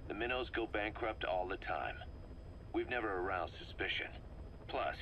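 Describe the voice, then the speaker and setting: A man's voice: lowish voice, normal speed, pushed and bright, slight background noise, poor audio. An actor playing a bitter middle-aged mercenary commander in a video game, briefing his boss in a terse, intense way. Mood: assured, businesslike. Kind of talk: dramatic video game acting, expository briefing.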